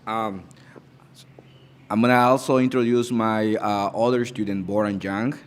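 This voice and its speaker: A man with a somewhat low voice introduces his students at a graduation ceremony. He speaks haltingly and breaks up his sentences with fillers and restarts. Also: level tone, slight background noise.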